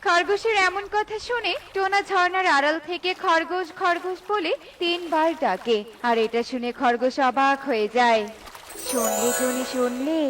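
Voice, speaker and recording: A woman narrating a children's cartoon story in an animated storybook style. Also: very high-pitched, normal speed, hardly any pauses, a little hiss or hum.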